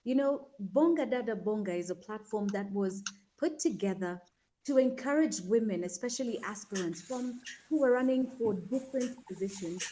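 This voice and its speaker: A soft-spoken woman, hosting an online panel discussion in a measured way over a video call. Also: mid-range voice, animated, slight background noise, rough recording. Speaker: host.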